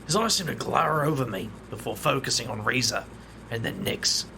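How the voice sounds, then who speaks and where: A man's voice: mid-range voice, expressive, brisk, gravelly, heavy background noise. A man voicing a laid-back, wry hero who also narrates and calls people mate. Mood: narrating. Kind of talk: audio drama acting with in-character narration.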